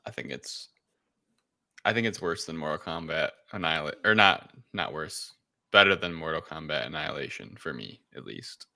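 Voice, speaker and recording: A man's voice: a bass voice, lively intonation, normal speed, gravelly, bright, forceful tone. A man co-hosting a podcast, talking casually as he reviews a film. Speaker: podcast co-host.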